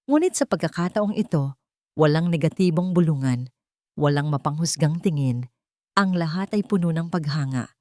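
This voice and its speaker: Low-pitched woman narrating a dramatic story. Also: dark tone, no echo, studio quality.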